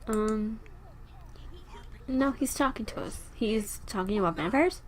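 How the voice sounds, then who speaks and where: Raspy young woman narrating her gameplay. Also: fairly high pitch, animated, measured pace, a little hiss or hum. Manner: chatty, rambling, talks to her game characters. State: tired, sore throat. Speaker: gameplay video host. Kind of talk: casual gameplay commentary.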